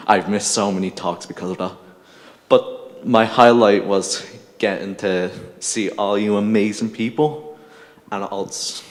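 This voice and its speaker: Slow, low-pitched man, jokey, hall reverb. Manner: joking, laughing between lines. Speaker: conference attendee. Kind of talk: casual reflection in a group share. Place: conference hall.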